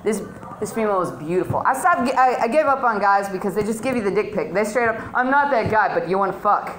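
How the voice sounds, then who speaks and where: A woman's voice: medium pitch, animated, quick pace, slight background noise. A middle-aged woman performing stand-up at an open mic, telling stories in a crude, slangy, conversational way into a handheld stage mic. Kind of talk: stand-up comedy routine. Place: small dim comedy club stage, indoors. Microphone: handheld stage microphone, filmed on phone close below.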